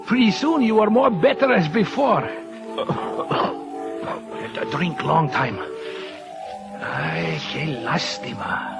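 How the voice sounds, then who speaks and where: High, creaky Mexican carousel operator, loyal and humble, broken English, swooping delivery, old radio drama. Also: male voice, heavily acted. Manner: broken English with Spanish words. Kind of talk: 1940s radio acting. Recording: muffled vintage radio recording with music.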